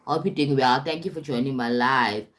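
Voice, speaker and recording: A woman with a low-pitched voice and a South African accent speaks as a life coach on an informal livestream. She is emphatic, repeats her phrases and often tags them with a short check-in to her listeners. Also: moderate pace. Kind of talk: informal motivational livestream talk.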